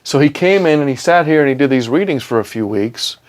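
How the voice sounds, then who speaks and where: A man's voice: fairly high pitch, animated, fairly quick, slight background noise. A middle-aged man with a British accent, a studio engineer chatting informally as an interview guest, peppering his stories with "man" and "you know". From born in Milan, grew up in England and Scotland. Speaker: podcast guest, recording engineer and studio owner. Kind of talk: casual interview storytelling. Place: recording studio.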